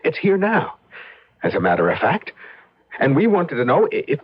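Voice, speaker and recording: An anxious, earnest young husband in an old radio play. His husky voice moves up and down in pitch and halts as he explains. The recording is dull and dated. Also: wildly swooping pitch, heavily acted. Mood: troubled, hesitant. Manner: hesitant, halting. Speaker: radio drama actor. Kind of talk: old-time radio drama acting.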